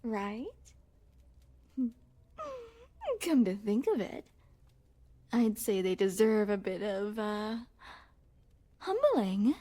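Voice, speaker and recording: A woman's voice: fairly high pitch, warm tone, heavily acted. A woman playing a possessive yandere princess in an ASMR roleplay, speaking in coy, regal, formal phrases with sweet menace. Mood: scornful, possessive. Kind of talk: ASMR roleplay, scripted monologue to the listener.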